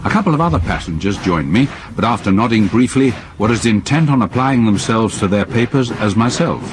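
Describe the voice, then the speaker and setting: An actor narrating as a man recalling a journey from his youth, calm and reflective in a radio drama. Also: fairly low pitch, a little fast, lightly acted. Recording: old radio broadcast with audible hiss.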